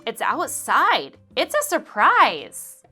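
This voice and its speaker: A woman's voice: sits high, wildly swooping pitch, slow pace, sharp tone, slightly rough, soft background music, voice pushed up, full character voice. A woman voicing a worried, unsure young schoolboy in a storybook, with a fretful manner. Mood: secretive. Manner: fretful, sighing.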